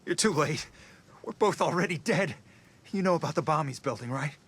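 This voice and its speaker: A man's voice: a little high, expressive, fairly quick, a bit bright, slightly rough, heavily acted. A man playing a frightened, despairing plant worker in a video game, anxious and fatalistic. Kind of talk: video game cutscene acting.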